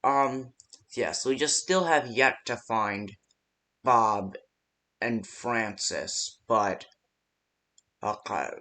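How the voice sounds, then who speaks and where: A man's voice: lowish voice, extremely slow, long pauses, bright, forceful tone. A man giving casual, improvised phone-call voice lines for a game, hesitant and rambling with many ums. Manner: casual, unpolished delivery. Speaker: phone-call guide in a fan horror game.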